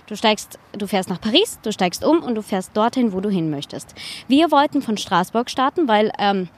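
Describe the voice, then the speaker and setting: A woman's voice: mid-range voice, animated, normal speed. A woman from Baden-Württemberg telling anecdotes as a guest in a casual, colloquial, self-deprecating way while walking along. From Baden-Württemberg, Germany. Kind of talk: casual chat, anecdotal storytelling.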